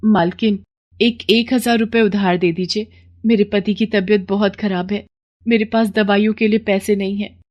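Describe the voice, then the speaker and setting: Casting: concerned, gentle wife and housemaid in a cartoon moral tale voiced by a female narrator. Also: mid-range voice, fairly even intonation, normal speed, dull audio, natural voice. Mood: pleading. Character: caring, worried wife of a poor labourer, a housemaid. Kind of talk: animated moral story voice acting.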